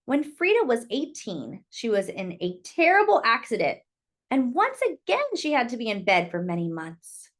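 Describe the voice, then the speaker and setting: A woman's voice: on the high side, wildly swooping pitch, normal speed. A woman reading a picture book aloud to young children, expressively and with little asides to her listeners.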